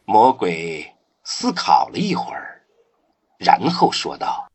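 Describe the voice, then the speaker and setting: A man's voice: low-pitched, huge pitch swings, slow pace, slightly rough. A man giving solemn, dramatic scripted narration for a radio drama. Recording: prominent music behind the voice. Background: music.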